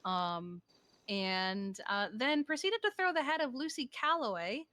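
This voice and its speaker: A woman role-playing a matter-of-fact, wry woman with a high, bright voice. She recounts things in a halting, conversational way, recorded close and dry for an online game. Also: lively intonation, no room sound. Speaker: role-player in a live-streamed online game. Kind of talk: improvised in-character conversation.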